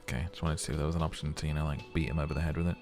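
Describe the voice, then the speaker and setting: A man with a hoarse voice, chatting through his gameplay in a self-mocking way. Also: a bass voice, flat delivery, rapid-fire, music in the background. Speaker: let's play host. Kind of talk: gameplay commentary and narration.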